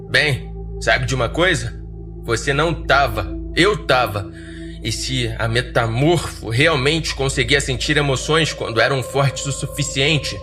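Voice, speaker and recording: A man with a Brazilian accent narrating a first-person horror story in a dry, sardonic, measured way. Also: medium pitch. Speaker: podcast narrator.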